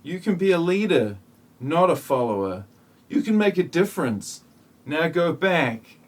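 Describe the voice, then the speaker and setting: A man playing a solemn, grandiose messianic figure who judges humanity, speaking in a husky, stilted, portentous lecturing manner. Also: fairly high pitch, sing-song, measured pace. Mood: commanding.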